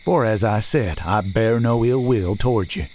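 A man's voice: lowish voice, moderate pace, darkish tone, lightly acted. A male narrator voicing a humble, nervous country stranger with old-fashioned rustic speech. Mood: pleading.

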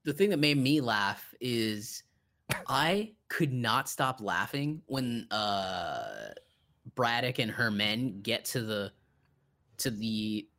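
A man's voice: mid-range voice, expressive, slow pace, pushed and bright. A man co-hosting a podcast, talking casually about film in an informal way with some swearing. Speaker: podcast co-host.

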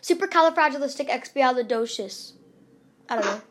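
Young woman, high voice, giggly and rambling, casual vlog host recorded at home. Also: lively intonation, a little fast. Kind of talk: casual chat with friends.

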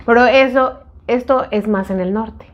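A woman from northern Mexico talks with a friend in a relaxed, agreeable way, her northern Mexican accent softened toward neutral. Her voice sits a little high. The recording sounds muffled and lo-fi. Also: measured pace. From northern Mexico (Sonora). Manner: relaxed, agreeable, frequent "ujú" backchannels. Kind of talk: casual chat between friends.

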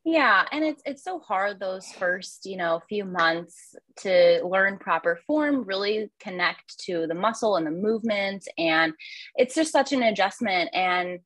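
A woman's voice: mid-range voice, expressive, measured pace, fairly bright tone, dry recording, rough recording. A young woman who coaches nutrition, speaking as a podcast guest in a friendly, thoughtful way with many fillers. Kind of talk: casual podcast interview.

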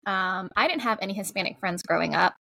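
A woman from Georgia whose first language is Spanish, co-hosting a podcast in quick, lively chat. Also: medium pitch, creaky, no room sound. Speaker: podcast co-host. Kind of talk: casual podcast conversation.

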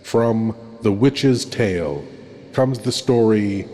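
Brief: male horror host, low voice, slow ominous theatrical intro. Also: mellow, long reverb tail, a little hiss or hum. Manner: slow, ominous, dramatic delivery.

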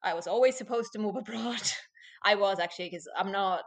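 Woman, late 20s, loud and animated podcast guest who laughs often. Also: mid-range voice, a little fast. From Finland. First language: Finnish. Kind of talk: casual interview chat.

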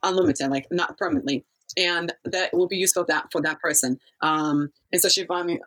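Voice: medium pitch, lively intonation, a little fast, fairly bright tone, rough recording. A person from Kazakhstan telling a life story in a casual interview, rambling and often losing the thread.

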